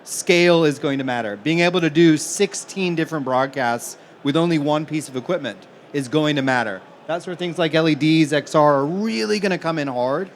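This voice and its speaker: A man from Manchester with a slightly high-pitched voice argues his points conversationally on a conference panel. There is some background noise from the live event. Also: normal speed, hardly any pauses. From Manchester, England, now living in the US. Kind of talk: panel discussion, conversational argument.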